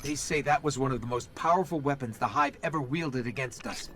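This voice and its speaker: An actor voicing a small, eager robot companion in a video game, anxious and curious, with an expressive, urgent delivery. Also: male voice, medium pitch, fairly quick, noisy background, close to an everyday voice. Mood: intent. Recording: loud music behind.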